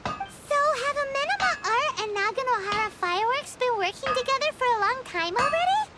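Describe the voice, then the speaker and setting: A tiny childlike fairy sidekick from a video game. The voice is extremely high and squeaky, inquisitive and lilting, over loud background music. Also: female voice, sing-song, normal speed. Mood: curious.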